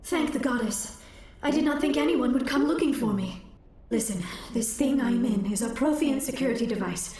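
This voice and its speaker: A young alien scientist in a space game. The voice is high, with careful, precise wording and an earnest, slightly hesitant, anxious manner. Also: female voice, expressive, normal speed, darkish tone, slight background noise. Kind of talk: video game voice acting.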